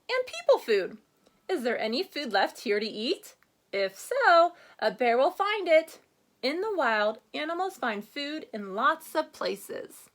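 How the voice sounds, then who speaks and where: A woman teacher giving a read-aloud reading lesson to children, instructing with questions, prompts and deliberate emphasis on key words. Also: high voice, a bit bright. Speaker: elementary school teacher.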